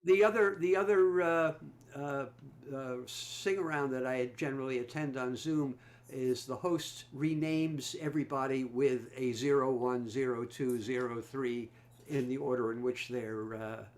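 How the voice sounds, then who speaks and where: A man's voice: mid-range voice, steady tone, a little slow. A man taking part in an online song circle, chatting casually and hesitantly, repeating words as he explains. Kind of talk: casual chat on a group video call. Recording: noisy video-call audio.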